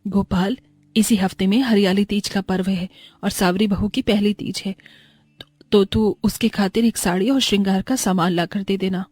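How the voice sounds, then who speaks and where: An affectionate older mother-in-law from a poor village, played by a woman storyteller. She talks in a medium-pitched, homely, reassuring way, as in a cartoon moral story. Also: fairly even intonation, moderate pace, a little mellow, no echo, close to an everyday voice. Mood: caring.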